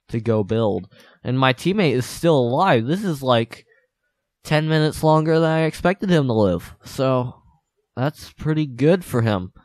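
A man's voice: mid-range voice, dry recording. A young man with a stuffed-up nose giving playful, boastful commentary while playing a game. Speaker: gaming channel host. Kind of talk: casual gameplay commentary.

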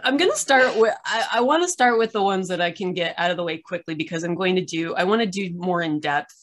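A woman's voice: fairly low pitch, lively intonation, fast. A middle-aged woman hosting a casual, unscripted chat over a video call, rambling with self-deprecating humour. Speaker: host. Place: room at home, video call.

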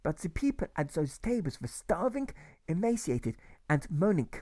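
A gentle, gracious German shopkeeper, put on by a man, speaks with a German accent in a raised, lilting voice. His manner is polite and earnest, with a habit of asking yeah. The sound is dry and close. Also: a little high, very animated, normal speed, no echo, voice pushed up. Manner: gentle, courteous, says yeah often.

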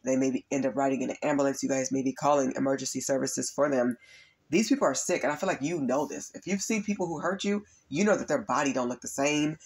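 A man with a raspy voice from allergies and overuse, earnestly giving a spiritual tarot reading to his viewers. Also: a little high. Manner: earnest, repetitive, addresses viewers as you guys. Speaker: tarot reader and spiritual coach. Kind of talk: spiritual reading monologue to camera.

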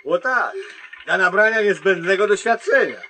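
An actor playing a gruff, self-important, curt driller in a comedy radio play. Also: male voice, high-pitched, animated, normal speed, pushed and bright, a little hiss or hum. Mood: pompous. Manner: curt, pompous, dismissive. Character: gruff, bossy, self-important man, newly trained driller.

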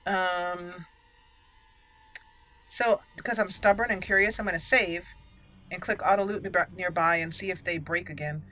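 Bright-voiced woman doing game commentary. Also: lowish voice, moderate pace, pauses often, poor audio. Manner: chatty, expressive, talks to game characters. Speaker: gaming channel host. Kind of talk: casual let's play commentary. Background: game music.

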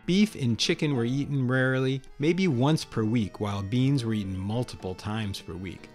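A man in his fifties walks through recipes one step at a time, in the easy, explanatory way of a home cooking host. His voice sits at a middle pitch and is recorded close in a kitchen, with faint music underneath. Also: normal speed, a little mellow. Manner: friendly, explanatory, step-by-step instructions. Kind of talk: casual cooking demonstration.

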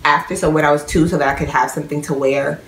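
A woman's voice: low-pitched, steady tone, fast talker, echoey room, slight background noise, poor audio. Young woman, chatty New York vlogger. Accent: New York with a slight Guyanese tinge. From New York, of Guyanese family. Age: young adult. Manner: chatty, rambling, lots of "like" and "um". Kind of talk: casual chat to camera. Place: small bedroom at home.

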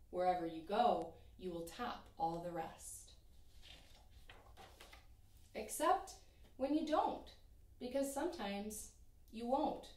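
A young woman teacher reading a rhyming children's picture book aloud in an expressive, sing-song way. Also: fairly high pitch, recorded from a distance. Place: small room in front of a painted backdrop. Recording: echoey room, voice from off-mic.

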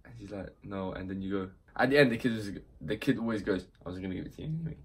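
A young man chatting casually at home with his partner, teasing her and laughing loudly. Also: lowish voice, rapid-fire, husky. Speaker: co-host. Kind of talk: casual chat reacting to old clips. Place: living room at home.

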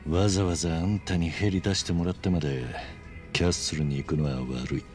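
A man's voice: low voice, a little fast, darkish tone, faint music behind, lightly acted. A man voicing a stoic, blunt middle-aged tough fighter in a video game cutscene. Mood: reserved. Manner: terse, blunt, reserved.

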